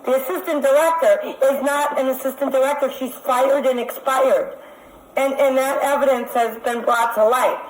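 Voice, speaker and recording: A woman caller making a complaint over the phone, speaking in an insistent, accusatory and halting way. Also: high voice, steady tone, slight background noise. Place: phone call. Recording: echoey phone call recording.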